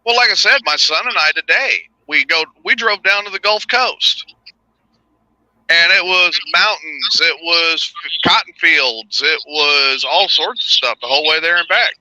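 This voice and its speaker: Man, high, very bright voice, dry close sound, animated storyteller. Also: unhurried, no echo, low-quality recording. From Texas. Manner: animated storyteller, laughs a lot. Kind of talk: casual chat, storytelling.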